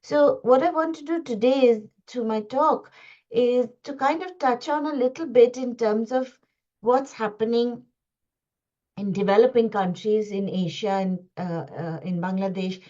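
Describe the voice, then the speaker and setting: A woman from India giving an earnest webinar talk over a video call, halting and full of restarts and fillers. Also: on the high side, dark tone. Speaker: guest speaker. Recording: dull lo-fi call audio.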